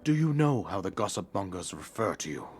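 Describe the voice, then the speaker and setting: A man's voice: sits low, very animated, a little fast, over music. A man voicing a stern king scolding his daughter in a game cutscene, commanding and severe. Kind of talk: video game cutscene voice acting.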